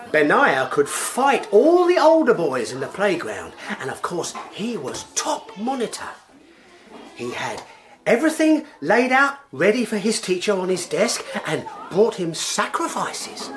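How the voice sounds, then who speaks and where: A plodding, flustered schoolteacher in a radio drama. The delivery is very slow and halting, with lively swings in pitch. The phrasing is simple and childlike, as if for a class. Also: female voice, background noise.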